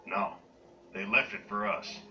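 A man's voice: fairly low pitch, steady tone, a little slow, music in the background, lightly acted. A man acting a stern, principled shock trooper in a video game cutscene. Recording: echoey distant TV speakers.

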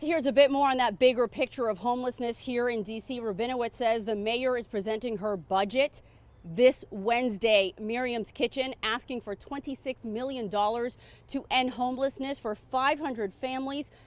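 An American woman, a TV news reporter, giving a report outdoors on a city street. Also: a little high. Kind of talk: news report, live and scripted. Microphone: clip-on lapel mic.

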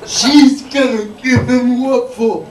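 A young man performing stand-up comedy stories in a halting, drawn-out, effortful delivery. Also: extremely high pitch, fairly even intonation. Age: young adult. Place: small dim comedy club stage indoors. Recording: noisy, echoey live recording from far off.

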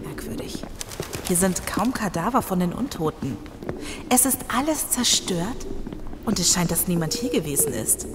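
An actress playing an excitable, observant female survivor in an audio drama. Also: fairly low pitch, normal speed, natural voice. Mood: puzzled. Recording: clear background ambience noise.

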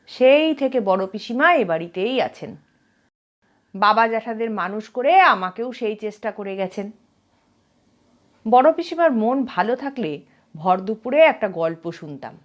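A woman reading a story aloud as a narrator, in an expressive storytelling style. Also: on the high side, unhurried, pauses often, dull audio. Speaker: story reader, channel host.

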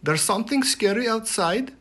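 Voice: medium pitch, expressive, moderate pace, a bit bright, voice pushed up. A storyteller voicing a nervous, suspicious little Viking girl in a storybook reading. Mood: worried. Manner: worried, suspicious.

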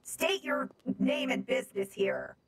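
A woman's voice: high-pitched, wildly swooping pitch, unhurried, a little hiss or hum, exaggerated voice. A woman voicing a curious, commanding female space robot with stilted, imperious phrasing in an improvised online game roleplay. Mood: commanding, inquisitive.

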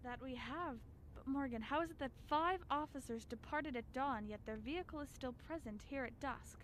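A woman playing a grave, dread-filled scholar in a radio drama, speaking in a formal, measured, ominous way. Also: sits high, a bit bright, a little hiss or hum. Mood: grim. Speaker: actor narrating. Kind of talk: radio drama narration as a written record.

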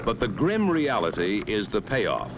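An American man reading scripted narration for a mid-century instructional film in an authoritative, measured, emphatic way. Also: on the low side, sing-song, background noise.

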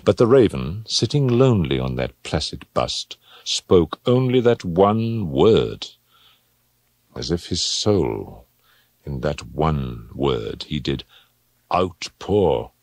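A man's voice: very deep voice, big pitch swings, takes it slowly, darkish tone, dry recording. A man narrating a dramatic poetry recitation in a grave, theatrical manner.

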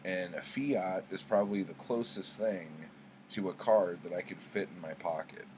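A middle-aged man with a fairly low voice chats like a home vlogger. His delivery is conversational and rambling, full of slang and swearing. The webcam recording carries a faint layer of noise. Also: normal speed, darkish tone. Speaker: book vlogger. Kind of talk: casual chat, rambling storytelling. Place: small indoor room at home with bookshelves.

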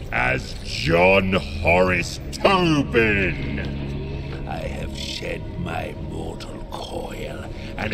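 An actor playing a pompous ghost of an old occult scholar with a gravelly voice, making grandiose, theatrical proclamations. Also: male voice, fairly low pitch, exaggerated voice.

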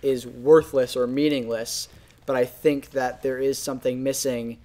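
A man's voice: medium pitch, level tone, measured pace, warm tone. A young adult man in a casual podcast discussion, picked up on a shared microphone indoors. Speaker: podcast host. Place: indoor room, seated group. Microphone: shared desktop microphone.

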